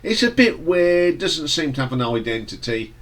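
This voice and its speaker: A man with a stuffy nose from hay fever, casually reviewing an album in a relaxed, rambling way with fillers and restarts. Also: a little high, moderate pace. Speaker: music reviewer.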